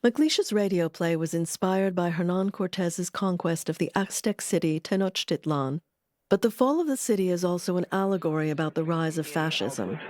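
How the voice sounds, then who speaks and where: A woman reading scripted documentary narration in a measured, articulate way. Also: fairly low pitch, darkish tone, no room sound, studio quality.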